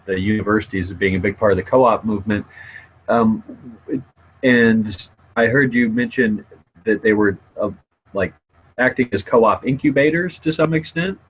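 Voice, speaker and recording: A man joining a video call and asking a question in a measured, hesitant way. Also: fairly low pitch, slight background noise, low-quality recording. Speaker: participant. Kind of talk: casual video-call conversation.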